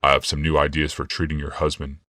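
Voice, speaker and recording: A man voicing a stern, reserved visionary ruler in an audiobook, speaking in a measured, solemn way. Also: a bass voice, completely flat delivery, pushed and bright, no room sound, studio quality, pitched below the performer's own voice. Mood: guarded, stern.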